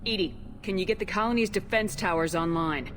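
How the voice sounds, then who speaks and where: A woman's voice: medium pitch, brisk, lightly acted. A woman playing a firm, direct, no-nonsense military starship commander in a video game. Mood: firm, businesslike. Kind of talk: video game dialogue acting.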